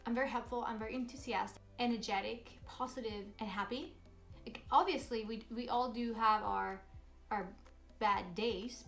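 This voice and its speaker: A woman's voice: fairly high pitch, a bit bright. Bubbly Swedish woman in her thirties. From Sweden. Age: 30s. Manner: bubbly, upbeat and chatty. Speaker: vlogger. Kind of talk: casual Q&A vlog.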